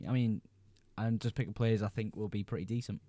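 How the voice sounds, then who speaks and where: Laid-back young Englishman, low mellow voice. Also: fairly even intonation, a little fast. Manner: laid-back, reads chat messages aloud then answers. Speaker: livestream host. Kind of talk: casual livestream chat answering viewer comments.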